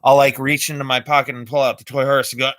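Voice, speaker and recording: Bright-voiced chatty magician man. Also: medium pitch, lively intonation, normal speed, no echo, clean studio sound. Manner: chatty, explaining tricks step by step. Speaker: amateur magician. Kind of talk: casual live-stream chat.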